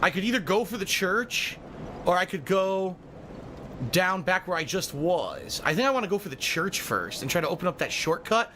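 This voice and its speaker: A man's voice: sits high, very animated, moderate pace, bright, forceful tone. Rambling man, noisy stream recording. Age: 30s. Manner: rambling, unsure, swearing. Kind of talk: live gameplay commentary.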